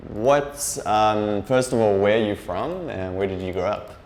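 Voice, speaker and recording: Guy in his 20s hosting a podcast, lowish voice, hesitant, faint noise. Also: measured pace, darkish tone, echoey room. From Durban, South Africa. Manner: hesitant, many fillers and restarts. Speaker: podcast host. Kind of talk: casual interview.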